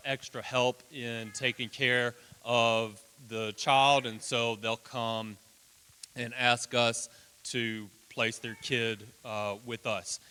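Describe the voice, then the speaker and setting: A man with a big booming voice, chatting folksily while presenting a children's ministry to a church. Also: bright, forceful tone, clear, resonant tone. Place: church hall. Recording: long hall reverb.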